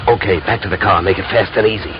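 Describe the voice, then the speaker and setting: An actor playing a cool, wisecracking private detective with clipped, wry delivery in an old-time radio drama. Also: male voice, low voice, fast talker, close to an everyday voice. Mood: wry, assured. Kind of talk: 1940s-50s radio detective acting. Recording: noisy, muffled old radio recording.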